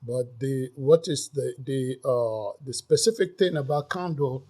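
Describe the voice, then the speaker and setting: A man's voice: mid-range voice, a little slow, warm tone. A man guest explaining church customs, earnest and hesitant with frequent restarts. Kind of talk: casual explanatory talk.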